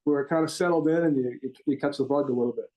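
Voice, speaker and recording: Middle-aged man, Rhode Island accent, warm low-key tone, rambling coach guest who restarts his sentences. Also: racing, muffled recording. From Rhode Island, USA. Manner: rambling, repeats words and restarts often. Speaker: gymnastics head coach, guest. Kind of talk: podcast interview.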